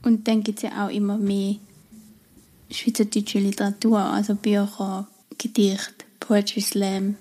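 A woman's voice: medium pitch, level tone, unhurried, warm tone. A young woman with a Swiss German accent, co-hosting a podcast in casual, hesitant talk with many fillers. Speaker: podcast co-host. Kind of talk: casual literary podcast chat.

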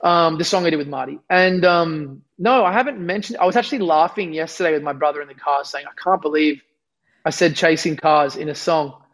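A man's voice: a little high, brisk, fairly bright tone. Young man, slightly lost voice. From Australia. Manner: rambling, self-deprecating, many restarts. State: losing his voice. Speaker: musician guest. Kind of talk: casual interview chat.

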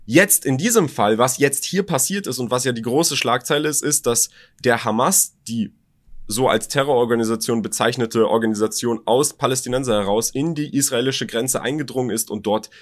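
A young adult man from Germany with a Berlin German accent, co-hosting a podcast and talking in a reflective, personal way with halting restarts. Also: medium pitch. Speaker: podcast co-host. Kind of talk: casual podcast conversation.

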